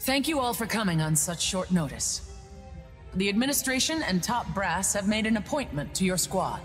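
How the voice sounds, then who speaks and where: An actress playing a composed woman military commander in a game dub, formal, measured and authoritative. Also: mid-range voice, expressive, lightly acted. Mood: formal, proud. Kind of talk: anime game English dub acting. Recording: reverberant, music behind.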